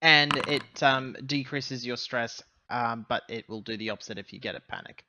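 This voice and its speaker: A man's voice: mid-range voice, normal speed, pushed and bright, dry recording, lo-fi sound. A man playing a tabletop game, talking casually about the play.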